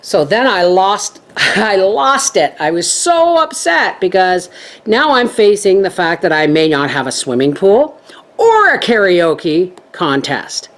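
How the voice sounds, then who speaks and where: A middle-aged woman chatting to her viewers as a homestead vlogger, telling stories in a lively way. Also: mid-range voice, slow. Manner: chatty, animated storytelling. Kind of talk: casual chat to viewers.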